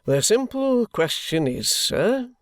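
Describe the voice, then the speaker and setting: A reader voicing a stern, unyielding police constable who speaks slowly and firmly. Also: medium pitch, big pitch swings, dry recording, studio quality, pitched above the performer's own voice. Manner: firm, slow and weighty.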